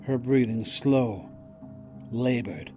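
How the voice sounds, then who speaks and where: A man's voice: medium pitch, slow pace. A man reading scripted dramatic narration as the narrator of an audio drama. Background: prominent music.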